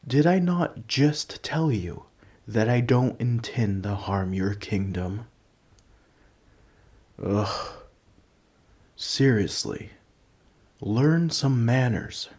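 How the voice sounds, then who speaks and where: Man playing a slow, husky, gentle dragon. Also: mid-range voice, lively intonation, heavily acted. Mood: offended, huffy. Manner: polite, slightly huffy, earnest, conversational. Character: large, ancient, nerdy gentle male dragon, politely indignant coin collector. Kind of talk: ASMR roleplay audio drama.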